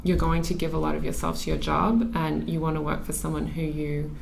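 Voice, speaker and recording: A woman from Australia speaks as a podcast guest in a lowish voice with plenty of vocal fry. She talks thoughtfully and earnestly, often pausing on um and restarting her sentences. A faint hiss of noise sits behind her. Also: level tone, darkish tone, rough recording. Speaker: interview guest, magazine editor. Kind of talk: relaxed conversational interview.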